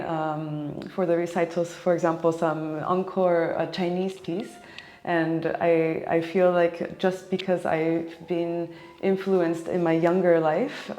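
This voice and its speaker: Low-voiced young woman, thoughtful, echoey room. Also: warm tone. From Japan and Hawaii. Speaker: classical cellist being interviewed. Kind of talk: casual reflective interview talk.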